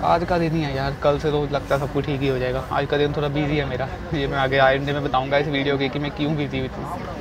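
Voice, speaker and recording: A man's voice: mid-range voice, little pitch movement, fast, a crowd in the background. A young man chatting to the camera as a travel vlogger, filming himself with a phone held at arm's length on a railway platform and inside a train. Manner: chatty, talks straight to the camera while walking. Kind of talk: casual travel vlog. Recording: noisy station and train sounds.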